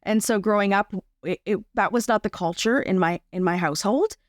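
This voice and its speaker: A woman's voice: mid-range voice, a little fast, no room sound. Female interview guest, candid and wry, conversational delivery, very dry studio-like sound. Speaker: podcast guest. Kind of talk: conversational personal account.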